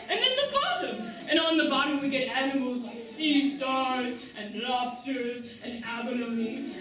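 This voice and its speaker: A woman playing an upbeat manta ray schoolteacher in a children's puppet show, enthusiastic and encouraging as she projects to young listeners. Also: high-pitched. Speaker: puppet show teacher and presenter. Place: large echoey room with live audience. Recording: echoey hall, distant, audience crowd behind.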